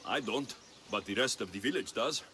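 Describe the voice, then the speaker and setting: An actor playing a confident mercenary in a video game, terse, dry and matter-of-fact. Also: male voice, mid-range voice, normal speed, bright, forceful tone, a little hiss or hum, natural voice. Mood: dry, curious. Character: confident male mercenary for hire, dry and steady. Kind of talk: video game cutscene acting.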